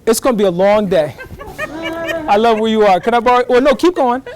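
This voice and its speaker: High-voiced animated male professor. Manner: animated, fast bursts, keeps checking in with the class. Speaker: guest lecturer, professor. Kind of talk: lively classroom lecture. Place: college classroom.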